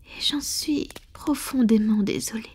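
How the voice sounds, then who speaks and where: A woman plays a proud, ancient female vampire who is tender with a lover. The voice is slightly high and very breathy, mostly whispered. The delivery is slow and intimate, in the manner of a romantic ASMR roleplay. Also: darkish tone. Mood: apologetic, vulnerable. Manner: slow, intimate, affectionate murmuring.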